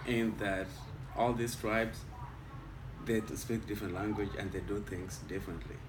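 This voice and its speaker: A man's voice: on the low side, little pitch movement, moderate pace. A man from Kenya with a Kenyan accent, whose first language is Luo, patiently answering interview questions about his home country. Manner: patient, explaining step by step. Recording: echoey room, mic at a distance, noisy.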